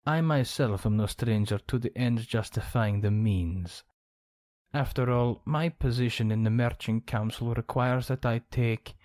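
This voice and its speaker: A man's voice: lowish voice, a little mellow, no echo, clean studio sound. A man playing a suave, gossipy, scheming count in an ASMR roleplay, speaking in a genteel, theatrical manner. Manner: genteel, theatrical, arch phrasing.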